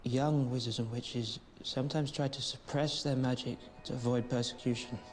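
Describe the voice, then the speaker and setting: Guy acting serious wizard explaining stuff, mid pitch, hall reverb, music. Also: steady tone, normal speed, lightly acted. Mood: grave. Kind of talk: explaining, film dialogue. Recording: hall reverb, film score behind.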